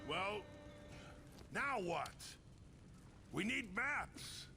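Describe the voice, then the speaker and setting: Gruff viking warlord, raised gravelly voice, blunt orders, loud music behind. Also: male voice, high voice, very animated, drawn-out words, heavily acted. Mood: blunt, commanding. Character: commanding, gruff Viking raid leader. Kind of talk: video game cutscene acting.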